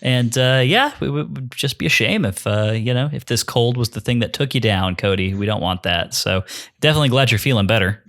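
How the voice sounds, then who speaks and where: A man's voice: medium pitch, expressive, fairly quick, a bit bright. A man hosting a podcast in casual, bantering chat, with wry mock-formal flourishes. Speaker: podcast host.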